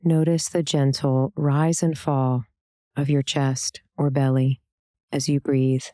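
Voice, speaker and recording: Female meditation guide, very deep register, soft mellow tone, calm and gentle scripted delivery. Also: no room sound, clean studio sound. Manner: calm, gentle, unhurried instruction with pauses. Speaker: meditation and yoga teacher.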